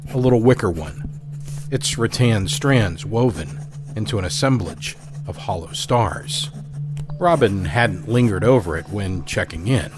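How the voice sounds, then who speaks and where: A man with a fairly low voice reads a story aloud in a measured, deliberate way. Faint music sits softly underneath him. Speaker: host and narrator. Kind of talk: scripted audio drama narration.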